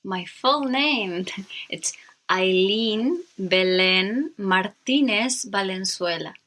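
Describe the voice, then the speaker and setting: A Chilean woman in her thirties, a native Spanish speaker, answers interview questions in halting, simple English with a Chilean accent. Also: medium pitch, expressive, a bit bright. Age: 30s. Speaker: guest, motorcyclist.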